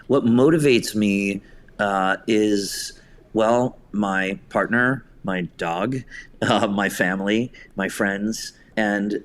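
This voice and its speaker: A man's voice: on the low side, takes it slowly. An American man talking reflectively as an interview guest about his creative work. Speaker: podcast guest, theater writer and director.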